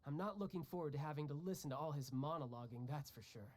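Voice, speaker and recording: A man's voice: fairly high pitch, quick pace, darkish tone, lightly acted. A man as a cocky, teasing young fighter. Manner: breezy, joking, laughs often. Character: cocky young male warrior, restless and teasing. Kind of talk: video game dialogue. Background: game music.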